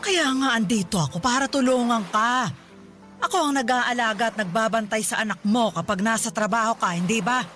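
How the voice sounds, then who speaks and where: A woman's voice: fairly high pitch, fairly quick, fairly bright tone, soft background music, lightly acted. Scolding old Filipino grandmother played by an actress. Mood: chiding. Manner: reproachful, emphatic, pleading. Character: scolding, caring old grandmother guarding her baby grandchild. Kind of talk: Filipino radio drama acting.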